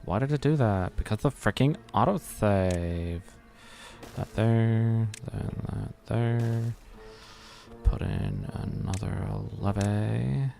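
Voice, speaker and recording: A man's voice: on the low side, slow pace, gravelly, dark tone, faint music behind. An American man streaming a building game, muttering his moves to himself, humming and joking along. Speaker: gaming streamer. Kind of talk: live game commentary.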